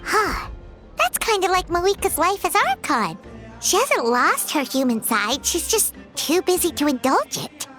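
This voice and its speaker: Squeaky greedy little fairy sidekick from a game, super high and swoopy, loud music behind. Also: female voice, big pitch swings, unhurried, heavily acted. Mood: curious. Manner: refers to herself in third person, whiny and indignant. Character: tiny floating female fairy companion, chatty and greedy. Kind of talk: video game voice acting.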